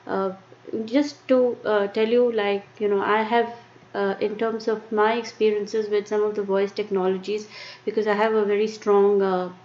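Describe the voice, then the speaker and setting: Indian-accented woman, halting with fillers. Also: mid-range voice, flat delivery, a little mellow. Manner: halting, many fillers and restarts. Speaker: tech presenter. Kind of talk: live-streamed tech talk. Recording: muffled lo-fi stream with faint noise.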